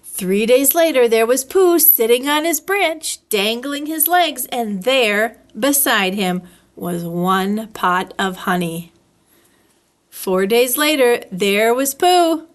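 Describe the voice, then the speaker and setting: A woman's voice: on the high side, lively intonation, slow. A woman reading a children's story aloud as a storyteller, expressively, drawing out words for effect. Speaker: library storyteller.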